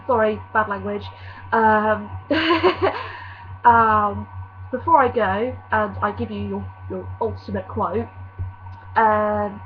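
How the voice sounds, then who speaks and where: A young woman from vlogging casually, rambling and apologetic, her nose slightly stuffy from a cold. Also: mid-range voice. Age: young adult. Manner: rambling and self-apologetic, with many fillers. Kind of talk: casual chatty vlog. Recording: dull lo-fi recording with faint hum.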